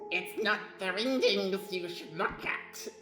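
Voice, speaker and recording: A woman's voice: mid-range voice, slow, fairly bright tone. A woman playing a mysterious, abrupt blind troll in an improvised comic radio play. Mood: cryptic, amused. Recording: roomy, music behind.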